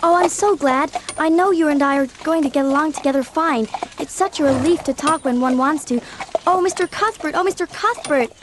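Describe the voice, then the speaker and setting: A dreamy young orphan girl acted by a woman chatters away in a high voice. She is eager and gushing, full of exclamations, as heard in a dramatised storybook. There is faint noise behind her. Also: fairly quick, close to an everyday voice. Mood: delighted. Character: eager, talkative, dreamy eleven-year-old orphan girl. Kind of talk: audiobook dramatisation acting.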